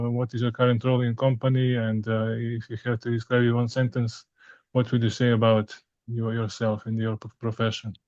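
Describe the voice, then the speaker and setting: A man hosting an interview podcast, speaking haltingly with many fillers and restarts. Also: medium pitch, darkish tone, smooth, no room sound. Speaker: podcast host. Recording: dull lo-fi sound, dry, faint music.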